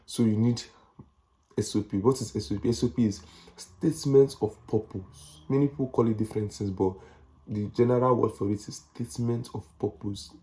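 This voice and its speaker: A young Nigerian man talks in a relaxed, rambling vlog style with a Nigerian accent. His voice sits lowish and sounds warm and mellow. He circles back over his points and drops in slangy asides. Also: brisk. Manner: chatty, repeats himself, slangy asides. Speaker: vlogger. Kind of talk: casual advice vlog.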